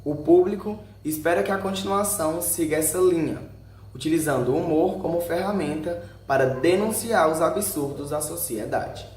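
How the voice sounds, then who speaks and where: A man's voice: fairly high pitch, moderate pace, darkish tone. A Brazilian man reading a scripted narration aloud in short headed sections, occasionally stumbling over a word. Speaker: video narrator. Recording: roomy echo with faint background noise.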